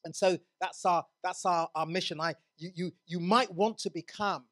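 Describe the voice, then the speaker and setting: A man's voice: sits high, fairly even intonation, normal speed, fairly bright tone. A man from England preaching a sermon in a church, earnest, often restarting his words.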